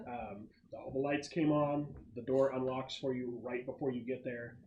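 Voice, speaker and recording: A man narrating a tabletop game as game master, describing scenes in a halting, improvised way. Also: medium pitch, level tone, darkish tone, highs cut off. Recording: noisy room, clicks.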